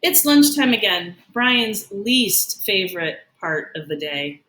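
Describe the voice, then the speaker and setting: A woman reading a children's picture book aloud like a teacher, in an expressive, deliberate way. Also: mid-range voice. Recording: roomy, echoing sound.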